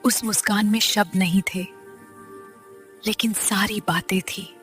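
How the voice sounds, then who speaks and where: Casting: female cartoon narrator, mid pitch, slow measured reading, light music underneath. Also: fairly even intonation, a bit bright. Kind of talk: scripted story narration.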